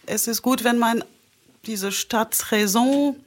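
A woman's voice: fairly high pitch, slow pace. A woman hosting an interview in a studio, asking questions and affirming her guest often. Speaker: interview host. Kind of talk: conversational interview.

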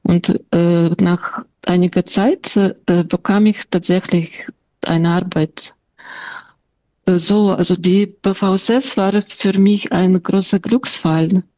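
A woman's voice: on the low side, fairly even intonation, takes it slowly, dark tone, no echo, dull audio. A woman with a Russian accent who stutters, telling her story and answering interview questions, with sound blocks and many fillers. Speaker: interview guest. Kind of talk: personal account and interview answers.

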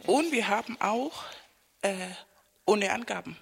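Lively storytelling woman in a reverberant room. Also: mid-range voice, unhurried, vocal fry, breathy edge. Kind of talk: casual conversation, storytelling.

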